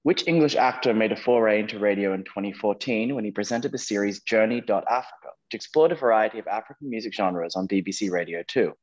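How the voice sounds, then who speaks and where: A man with a low, slightly husky voice hosts a quiz over a dull, lo-fi connection. He reads questions out to contestants and is encouraging as he moves between players. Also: fast talker, hardly any pauses. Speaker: quiz host. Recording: dull lo-fi online call audio.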